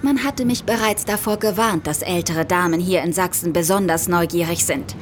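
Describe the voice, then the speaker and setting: A woman's voice: fairly low pitch, normal speed, background noise, lightly acted. A woman playing a worldly, self-assured young smuggler in a radio play, wry and guarded as she tells her story. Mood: wary, wry. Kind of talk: first-person radio play narration.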